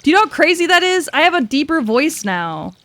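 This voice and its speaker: A woman's voice: high voice, big pitch swings, fairly quick, a bit bright, no room sound. Giggly young woman streaming a game. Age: 20s. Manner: giggly, swears casually, mutters while working things out. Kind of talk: casual gaming stream chat.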